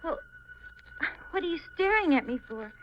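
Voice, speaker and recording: Anxious high-voiced young woman. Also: big pitch swings, normal speed. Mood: light, polite. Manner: hesitant, nervous. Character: anxious young woman unsure of her identity. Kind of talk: 1950s radio mystery acting. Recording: muffled, noisy 1950s radio recording.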